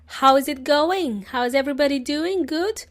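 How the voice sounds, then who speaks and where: A woman hosting an English-learning podcast, talking chattily and laughing often, her voice hoarse from a cold. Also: high-pitched, normal speed. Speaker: podcast host.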